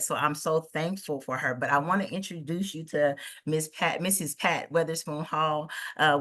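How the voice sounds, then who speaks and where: A woman with a low but bright, forceful voice hosts a livestream and introduces her guest. She talks casually and effusively, full of thanks. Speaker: host, organization founder.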